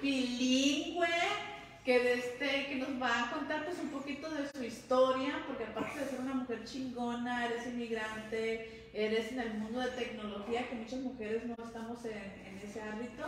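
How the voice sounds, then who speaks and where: Casual female interview host, slangy, slightly high-pitched, heard in an echoing room. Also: steady tone, normal speed. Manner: informal, slangy. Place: indoor lounge nook with brick wall and concrete floor. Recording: echoey room with clear background noise.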